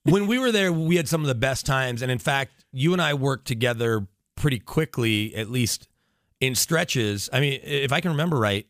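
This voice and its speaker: An American male broadcaster talks as a podcast guest in a medium-pitched voice. He is chatty and emphatic, piling up lists and side remarks. The recording is very dry. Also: lively intonation, normal speed, fairly bright tone, no room sound. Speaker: guest, sports radio broadcaster. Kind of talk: casual podcast chat.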